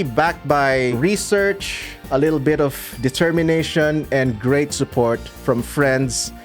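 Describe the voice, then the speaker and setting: A man from the Philippines whose first language is Tagalog, a YouTube creator answering viewer comments in a dry, measured way. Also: on the high side. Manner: dry, measured, pauses edited out. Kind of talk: casual commentary to camera.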